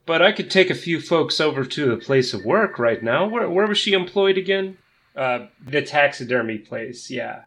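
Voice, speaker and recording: A man playing a tactful organiser in a tabletop role-playing game, with measured, slightly formal wording. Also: on the high side, animated, fairly bright tone. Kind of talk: improvised tabletop role-play.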